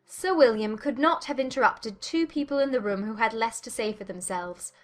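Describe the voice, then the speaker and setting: A woman playing a witty, spirited young Regency gentlewoman with an arch, teasing manner in a dramatized audiobook. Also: a little high, moderate pace, natural voice. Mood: flustered, playful.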